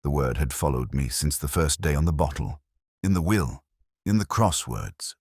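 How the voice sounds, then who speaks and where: A man narrating a first-person detective story in a measured, brooding, literary manner. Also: rumbling low, animated, darkish tone, breathy edge, no room sound, clean studio sound. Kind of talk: scripted first-person audio drama narration.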